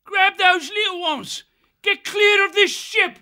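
High, urgent kestrel warrior. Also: male voice, animated, measured pace, bright, forceful tone, exaggerated voice. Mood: urgent shriek. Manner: shrieking, pleading warnings. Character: urgent, grave kestrel bird, loyal wild warrior.

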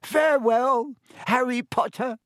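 A man voicing an excitable, servile male house-elf with a shrill, squeaky voice and a halting, earnest manner. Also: no room sound, clean studio sound. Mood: tearful.

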